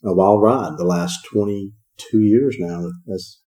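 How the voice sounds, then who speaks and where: A man in his forties from East Texas with a very deep, dark voice. He speaks as a relaxed guest on a casual interview. He jokes easily. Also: slow pace.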